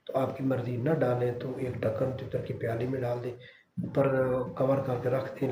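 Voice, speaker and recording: A man's voice: mid-range voice, mellow, slight background noise. A man hosting his own bird-keeping channel, giving casual how-to advice to viewers in a reassuring way, speaking with a Pakistani Urdu accent and often checking in with "theek hai". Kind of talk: casual how-to talk to camera. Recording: echoey room with faint ambience.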